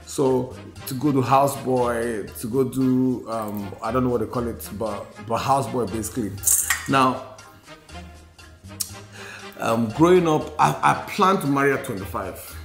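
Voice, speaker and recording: A Nigerian-accented man in his forties shares candid personal memories at a mid-range pitch. He speaks reflectively and repeats phrases to stress them. The room is audible around his voice, with faint music underneath. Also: measured pace, creaky. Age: 40s. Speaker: podcast co-host. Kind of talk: candid personal storytelling in a podcast conversation. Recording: roomy sound with faint music.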